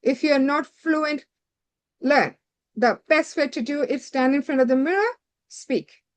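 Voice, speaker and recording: High-voiced woman tutoring exam candidates. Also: measured pace. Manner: direct, instructive, repeats points with "so".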